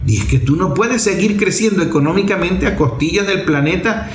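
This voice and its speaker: Emphatic man with a high-pitched voice. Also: brisk, echoey room. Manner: emphatic, rhetorical questions and repeated phrases. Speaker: political commentator. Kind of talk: political commentary monologue.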